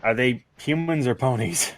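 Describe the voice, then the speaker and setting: A man's voice: medium pitch, normal speed, a loud crowd. A man in casual conversation, commenting in a hesitant, rambling way as a guest. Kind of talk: casual chat.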